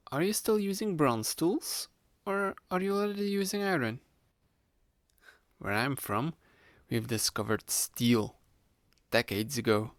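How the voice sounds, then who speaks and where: A man playing a sly, playful young male student hypnotist in a conversational ASMR roleplay, speaking to the listener in a gravelly, teasing voice. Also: mid-range voice, a bit bright, no echo. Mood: curious, amazed. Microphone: close studio-style microphone, dry.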